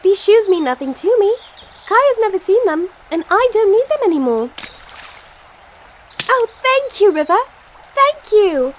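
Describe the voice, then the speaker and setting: A determined young girl of sixteen played by a woman in a fairytale audio play. Her very high, lively voice is earnest and polite, recorded with faint noise behind it. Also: unhurried, pauses often, exaggerated voice. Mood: pleading, hopeful. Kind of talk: amateur audio play acting.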